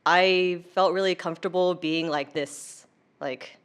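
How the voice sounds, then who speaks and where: Low-voiced young American woman chatting casually. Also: fairly even intonation, a little slow, clear, resonant tone. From United States. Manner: chatty, informal, heavy use of "like". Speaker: interview guest. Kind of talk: casual conversational interview.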